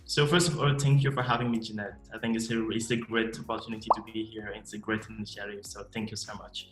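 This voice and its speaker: A man, answering interview questions in a measured, explanatory way with frequent restarts. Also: on the low side, flat delivery. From Cameroon. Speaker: MBA student guest. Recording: echoey room with faint music.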